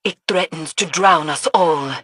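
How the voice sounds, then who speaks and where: An actress playing a grave, stern mystic mentor in a video game, speaking solemnly and formally with a husky voice. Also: female voice, deep voice, a little slow, a bit bright, a little hiss or hum. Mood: ominous. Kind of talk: dramatic game voice acting.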